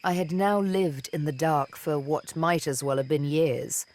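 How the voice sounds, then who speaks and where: A woman playing a seductive, vengeful elven assassin and former slave in a video game, speaking in a deliberate, theatrical, teasing manner. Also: low voice, a little mellow. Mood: grim, haunted. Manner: deliberate, teasing, dramatic storytelling with pauses. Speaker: video game companion character.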